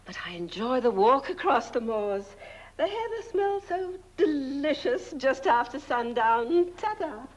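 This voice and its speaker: A woman's voice: high-pitched, big pitch swings, unhurried, heavily acted. A woman playing a sweet, dotty, genteel older spinster in a horror-comedy film, fluttery and cloyingly polite, on a dull soundtrack. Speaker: actress.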